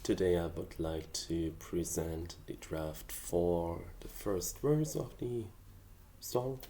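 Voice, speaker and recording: Low, unhurried male vlogger, German native speaking English, thoughtful and hesitant, fills pauses with "um" and "like". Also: warm tone, a little hiss or hum. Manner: hesitant, thoughtful, many fillers. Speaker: hobby songwriter. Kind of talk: casual explanatory vlog.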